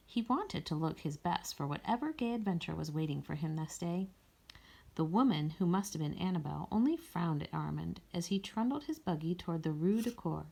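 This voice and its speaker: A woman narrates a storybook, reading aloud from the text. Her voice sits a little low and is soft and mellow. There is a noticeable creak in it. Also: rough recording. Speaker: story reader.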